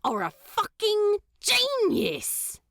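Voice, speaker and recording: A woman's voice: very high-pitched, drawn-out words, dry recording, studio quality, voice pushed up, exaggerated voice. A woman voicing a snarky, swearing male demon who is brash and teasing in an audiobook reading. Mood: excited. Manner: brash, excited, emphatic.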